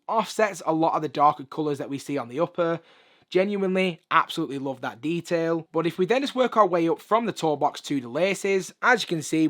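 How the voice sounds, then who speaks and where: A hoarse man, chatting upbeat through a sneaker review in a room full of shoes. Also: on the high side, fairly bright tone. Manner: chatty, upbeat, lots of filler phrases. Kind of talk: casual video review. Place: room with shoe collection.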